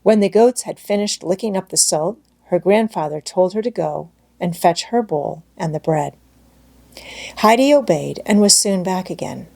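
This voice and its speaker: A woman's voice: fairly low pitch, moderate pace, vocal fry, mellow. A woman reading scripted storybook narration as an audiobook narrator.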